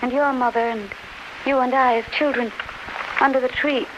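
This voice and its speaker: A woman's voice: sits high, steady tone, moderate pace, lightly acted. A woman in a 1930s radio drama playing a gracious, tender English duchess, refined, polite and full of feeling. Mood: anguished, resolute. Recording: muffled, noisy 1930s radio recording.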